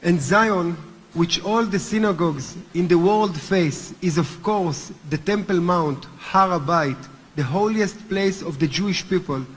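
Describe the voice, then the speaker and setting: A man with a thick Hebrew accent speaks earnestly and deliberately in a fairly high, slightly husky voice. He is addressing a conference as a movement chairman. His words ring out with a long reverb in a large hall. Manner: earnest, deliberate, with occasional restarts. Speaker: movement chairman giving a speech. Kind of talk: formal conference speech.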